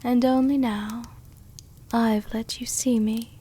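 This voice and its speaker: Woman voicing an ancient vampire countess, highish voice, very slow, intimate, formal, gently possessive, ASMR roleplay. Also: little pitch movement. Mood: amused, confiding. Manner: slow, intimate, formal diction with breathy whispers. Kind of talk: soft-dom roleplay ASMR.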